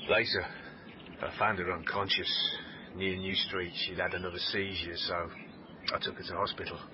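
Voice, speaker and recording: An actor playing a weary, sardonic British police officer in a radio drama, speaking in a measured, halting way. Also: male voice, low voice, little pitch movement, natural voice. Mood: weary, subdued. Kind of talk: naturalistic radio drama acting. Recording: dull radio recording, clear background noise.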